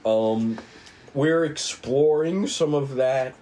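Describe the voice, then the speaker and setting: A man getting over a cold, co-hosting and explaining in a casual, rambling, halting way. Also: medium pitch, warm tone, a little hiss or hum, rough recording. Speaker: co-writer and co-host introducing a script table read.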